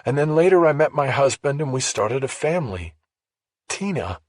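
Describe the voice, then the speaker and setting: A man voicing a grieving, guilt-ridden middle-aged mother in an audiobook, sorrowful and halting. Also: medium pitch, sing-song, a little mellow, dry recording, studio quality, pitched above the performer's own voice. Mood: confessing, regretful. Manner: sorrowful, halting, bitterly resigned.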